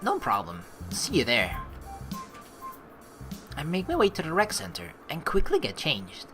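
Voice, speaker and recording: A woman's voice: low-pitched, wildly swooping pitch, a little slow, fairly bright tone. A woman hosting a game playthrough, reading the text aloud and adding jokey, rambling casual commentary. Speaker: let's play host. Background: game music.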